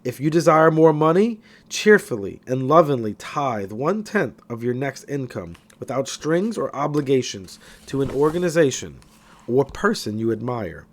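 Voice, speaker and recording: Male narrator for a self-help audiobook: mid-pitched, animated intonation, expressive and emphatic scripted read. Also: normal speed.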